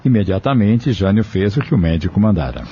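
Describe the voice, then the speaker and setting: A Brazilian man narrating an audio drama from a script. His voice is dark and slightly husky, and the recording is dull and lo-fi. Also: on the low side, animated, fairly quick.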